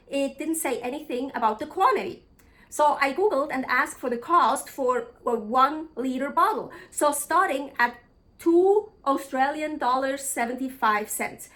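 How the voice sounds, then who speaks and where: A woman's voice: high-pitched, a bit bright. A woman from Switzerland, chatting casually in a travel vlog, blunt and frank, swearing freely and thinking aloud. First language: Swiss German. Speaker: travel vlogger.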